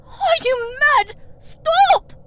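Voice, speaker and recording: A woman voicing an easily panicked elf jailer in a fantasy story, shrill and exclamatory. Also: lively intonation, very slow, heavy background noise, exaggerated voice.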